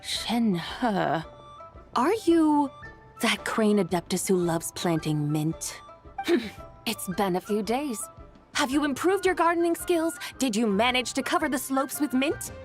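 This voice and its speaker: Eccentric immortal game lady, high voice, lively swoops, haughty, formal archaic phrasing, theatrical, faint music. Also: slow pace. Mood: haughty, curious. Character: imperious, eccentric, forgetful immortal horse adeptus lady. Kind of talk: English video game voice acting.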